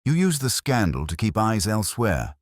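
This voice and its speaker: A man voicing a calm, quietly shrewd police inspector who lays out evidence in a measured, understated and methodical way. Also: fairly low pitch, no echo, studio quality, voice pushed up. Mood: quietly firm.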